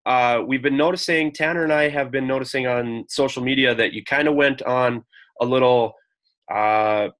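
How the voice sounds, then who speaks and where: A man's voice: medium pitch, normal speed. Laid-back male podcast host. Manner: relaxed, hesitant questions with fillers. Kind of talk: casual interview.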